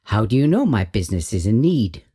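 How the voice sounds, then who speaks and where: A man's voice: on the low side, very animated, brisk, dark tone, breathy edge. A man voicing an anxious, penniless village tailor in an audio folk tale, with a pleading, questioning, theatrical manner. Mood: anxious, puzzled.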